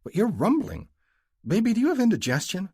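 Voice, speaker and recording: A man puts on a young woman's voice, high above his own, swooping with emotion and a little husky. She is earnest and affectionate, her sentences rising and pressing forward. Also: wildly swooping pitch, a little fast, mellow, no room sound, clean studio sound, heavily acted. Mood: alarmed.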